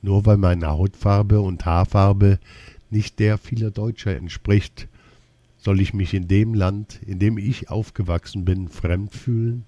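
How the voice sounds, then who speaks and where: A man reading a first-person personal essay aloud in a slow, measured, deliberate way. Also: lowish voice, steady tone, warm tone, dry recording, dull audio.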